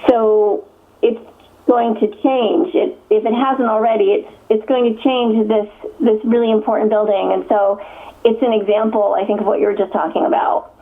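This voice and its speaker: A woman's voice: on the high side, level tone, normal speed. A woman from Connecticut, an author, answering interview questions in a chatty way, full of fillers and restarts and frequent laughs. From Connecticut, USA. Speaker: author guest. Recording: tinny phone line.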